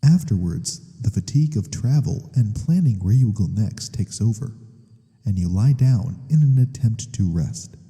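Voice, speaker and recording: Dark, soft-spoken male narrator. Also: lowish voice, expressive, normal speed, big-room sound. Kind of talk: soft-spoken second-person ASMR story narration.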